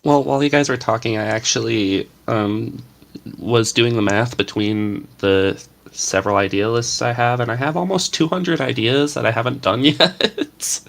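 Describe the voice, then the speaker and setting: A man's voice: medium pitch, moderate pace, vocal fry, fairly bright tone. A man script writer talking casually and a little hesitantly about his idea lists. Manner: hesitant, with stumbles and fillers. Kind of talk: casual chat.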